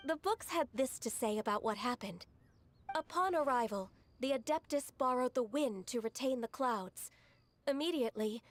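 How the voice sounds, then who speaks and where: A woman voicing a gentle, polite young female aide who speaks softly and courteously. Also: high-pitched, natural voice. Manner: soft-spoken and courteous. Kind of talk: video game voice acting.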